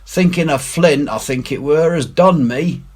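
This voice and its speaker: A man's voice: fairly high pitch, measured pace, a little mellow, breathy edge, voice pushed up. A man voicing a gloomy, superstitious pirate crewman who growls and moans in salty dialect for a storybook. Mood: uneasy.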